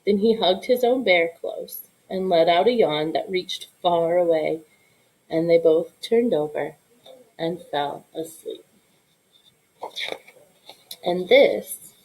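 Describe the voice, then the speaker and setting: A woman reading a children's picture book aloud in an expressive storytelling style. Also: fairly low pitch, slow pace, pauses often, low-quality recording. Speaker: storybook reader.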